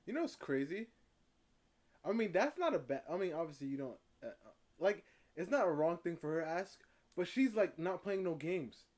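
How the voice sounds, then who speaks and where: A man's voice: a little high, big pitch swings, normal speed, darkish tone. A man giving casual commentary in a slangy, rambling, emphatic way. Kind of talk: casual reactive commentary in chat.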